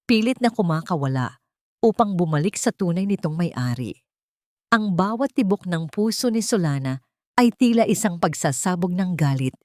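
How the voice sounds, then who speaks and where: A woman's voice: fairly low pitch, darkish tone, dry recording, studio quality. A woman reading a dramatic story as a scripted narrator.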